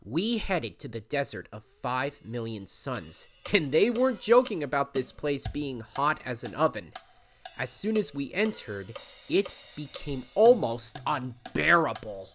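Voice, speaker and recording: Lady doing brave critter hero guy, low voice, bouncy delivery, audio adventure. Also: sing-song, measured pace, faint music behind, exaggerated voice. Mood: determined. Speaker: lead actor and story narrator. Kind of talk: audio drama acting and narration.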